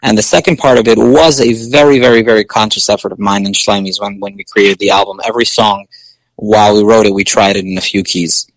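A male singer with a low speaking voice, chatting casually in an interview with many fillers and restarts. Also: steady tone, fast, dry recording, rough recording.

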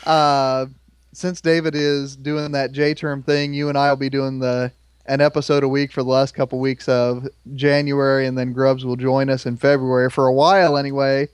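A man in his thirties hosting a podcast in a relaxed, conversational way, slightly hoarse from a cold. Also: mid-range voice, dry recording. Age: 30s. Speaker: podcast host. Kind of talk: casual chat.

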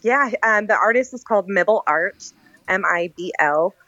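A woman's voice: mid-range voice, lively intonation, normal speed, sharp tone, dry recording, dull audio. A woman speaking as a guest author in a casual, chatty and informal interview conversation.

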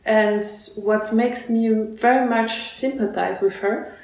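A woman born in Russia answers interview questions at an unhurried pace, pausing often with fillers and false starts. Her voice sits in a very echoey room. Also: a little high, fairly even intonation, a little mellow. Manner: hesitant, many fillers and restarts. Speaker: guest musician.

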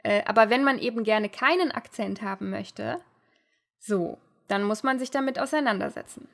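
A woman's voice: on the high side, normal speed, gravelly, fairly bright tone. A woman hosting an interview, chatty and explanatory, often laughing lightly. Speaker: interview host, language teacher. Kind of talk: casual interview conversation.

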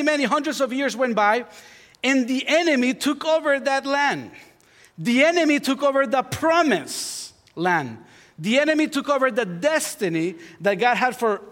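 Honduran pastor preaching in a reverberant hall. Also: male voice, very high voice. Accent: Honduran Spanish. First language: Spanish. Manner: warm self-deprecating humor, rising to fervent preaching. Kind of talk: sermon. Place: church sanctuary.

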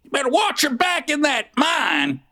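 A performer playing a cantankerous little dwarf miner in a comic radio play, barking and scornful, with a gravelly voice and folksy manner. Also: high-pitched, wildly swooping pitch, moderate pace, bright, forceful tone, exaggerated voice. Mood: grumpy, barking.

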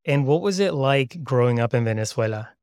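A man hosting a podcast, reading a scripted introduction and asking interview questions in a measured, friendly way. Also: mid-range voice, expressive, darkish tone, clear, resonant tone, no echo, clean studio sound. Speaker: podcast host.

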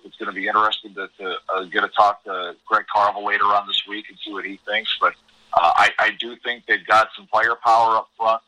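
A low-voiced American man talks through college sports as a guest analyst. His delivery is very bright and forceful, earnest and emphatic, with words often repeated as he builds a point. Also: rough recording. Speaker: college hockey commentator as podcast guest.

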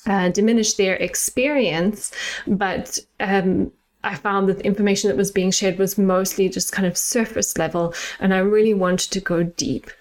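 A middle-aged woman from South Africa with a South African accent, hosting her own podcast in a measured, earnest, explanatory way. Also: medium pitch, steady tone, darkish tone. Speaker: podcast host and nutritional therapist. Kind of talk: scripted solo podcast monologue.

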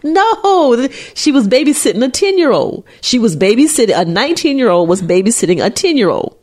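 A woman's voice: a little high, very animated, brisk, darkish tone. A woman co-hosting a casual talk show, teasing and slangy. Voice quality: a little nasally. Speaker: podcast co-host. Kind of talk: casual banter.

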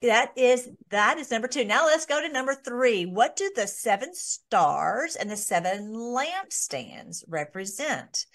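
Woman teaching a Bible study, fairly high voice, rambling, lots of restarts. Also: sing-song, unhurried, few pauses, a bit bright. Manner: rambling, with frequent restarts and fillers.

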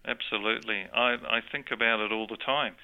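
A man's voice: on the low side, fairly even intonation, fairly quick, smooth, narrow, tinny audio. A man with a New Zealand accent, a guest telling a story of his faith in earnest, conversational testimony, with frequent restarts. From born in Australia, grew up in New Zealand. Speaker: interview guest.

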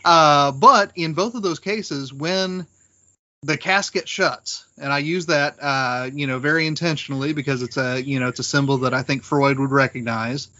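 Bright-voiced, higher-pitched scholarly man. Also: highs cut off. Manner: scholarly, deliberate, explanatory. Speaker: podcast panelist. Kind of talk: conversational literary discussion.

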